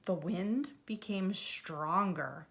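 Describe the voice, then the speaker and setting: A woman preschool teacher reading a children's storybook aloud in an expressive, deliberate way. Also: fairly low pitch, vocal fry. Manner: animated, deliberate delivery for young children.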